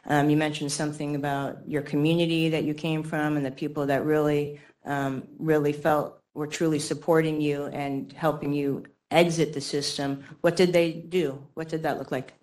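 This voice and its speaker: Careful, halting woman moderator. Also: sits low, flat delivery, darkish tone. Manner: careful, halting, repeats words for emphasis. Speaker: presenter moderating testimony at a public hearing. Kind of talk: semi-formal interviewing and remarks.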